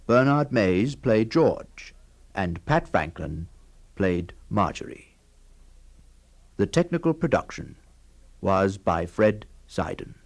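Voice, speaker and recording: A man's voice: on the low side, sing-song, slow pace, pauses often. A man giving a scripted announcement as the announcer of a radio drama.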